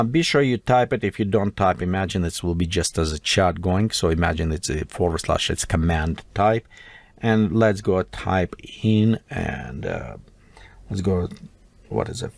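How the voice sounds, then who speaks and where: A man's voice: low voice, normal speed, gravelly, dry recording. Russian-accented man typing through a tutorial. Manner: thinks aloud while typing, with broken non-native grammar. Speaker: tutorial host. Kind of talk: screen-recorded software tutorial.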